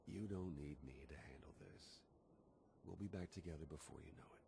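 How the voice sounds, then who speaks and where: A confident, casual spy in a game cutscene. His voice is very low and gravelly, with an informal delivery over clear ambient noise. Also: moderate pace, warm tone. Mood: reassuring. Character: casual, easygoing male spy, confident agent. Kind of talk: video game cutscene acting.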